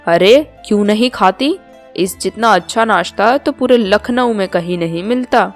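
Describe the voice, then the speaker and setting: A woman narrator acts out an older mother-in-law from a small town in a storybook tale. She is chatty and proud, insisting on being modern. Soft music plays behind her. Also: medium pitch, moderate pace, lightly acted. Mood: defiant. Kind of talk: Hindi storybook cartoon dialogue.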